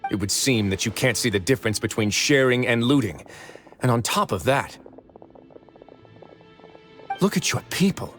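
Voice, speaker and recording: An actor voicing a calm, stern young male prison duke in a video game, measured and composed. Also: mid-range voice, soft background music, natural voice. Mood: reproachful. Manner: measured, composed, quietly cutting.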